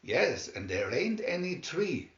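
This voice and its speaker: A man's voice: on the high side, big pitch swings, slow, fairly bright tone, exaggerated voice. Man as mean ticket seller, curt, gloating, mocking, cartoon storybook, roomy recording. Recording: dull, roomy home recording.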